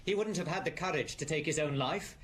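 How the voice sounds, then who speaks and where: A man's voice: fairly high pitch, a little fast, fairly bright tone, natural voice. A man acting a resentful, self-righteous man of a country estate in a radio drama. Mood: defiant.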